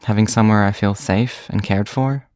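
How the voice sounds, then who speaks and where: A gentle, openly vulnerable character, played by an actor in an audio drama. The voice sits at a middle pitch and speaks with soft sincerity, recorded dry and intimate. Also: fairly even intonation, moderate pace, dry recording, natural voice. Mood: tender, grateful. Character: gentle, vulnerable bar resident leaving an affectionate voicemail.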